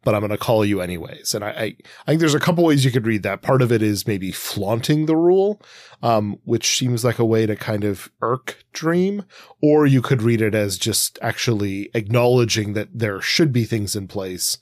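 A man with a fairly low voice talks as a podcast co-host. He works through his analysis casually, in winding, hesitant sentences. The sound is very dry, with no room to it. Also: expressive, moderate pace, no echo. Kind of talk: casual analytical book discussion.

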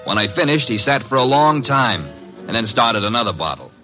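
An American man playing a wisecracking, brisk detective's assistant in an old-time radio drama, wry and breezy. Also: mid-range voice, big pitch swings, sharp tone, faint music behind, poor audio. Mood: matter-of-fact narrating.

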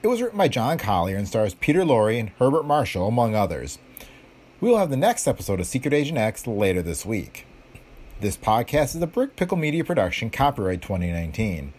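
A man with a husky voice reading a scripted podcast introduction as its host. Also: medium pitch, sing-song, fairly quick, a little mellow.

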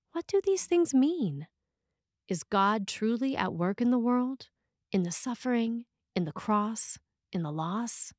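A woman priest reading a scripted sermon aloud in a measured, expressive way with deliberate phrasing. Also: mid-range voice, no room sound, studio quality.

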